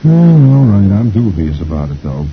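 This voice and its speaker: Man doing a huffy parakeet, pitched up. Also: lively intonation, unhurried. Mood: dubious, grudging. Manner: irritated, formal, huffy. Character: grumpy, disdainful male pet parakeet in a comic strip. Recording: dull lo-fi old radio recording, faint hiss.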